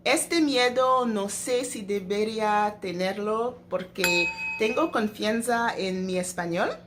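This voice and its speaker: Slow, hesitant young woman vlogger. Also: medium pitch, a bit bright, slight background noise. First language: English. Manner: hesitant, lots of fillers and restarts. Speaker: travel vlogger. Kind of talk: casual talk to camera.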